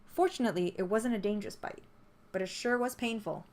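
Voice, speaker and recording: A woman reading a story aloud as scripted narration, in an expressive storytelling manner. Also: mid-range voice, brisk. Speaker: visual novel player reading the text aloud. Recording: music behind the voice.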